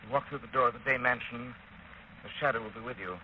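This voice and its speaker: A man's voice: mid-range voice, fairly even intonation, highs cut off, a little hiss or hum, close to an everyday voice. An actor playing a confident, earnest, urgent male sleuth in dramatic 1930s radio mystery style, on an old broadcast. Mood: intense, resolute. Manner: earnest, deliberate, dramatic delivery.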